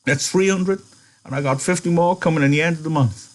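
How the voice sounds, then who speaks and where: Man doing an eager, high-voiced old ranch hand. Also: fairly quick, close to an everyday voice. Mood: eager, hopeful. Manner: rustic folksy speech, excitable. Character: eager old one-handed male ranch hand, hopeful. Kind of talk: audiobook-style character reading.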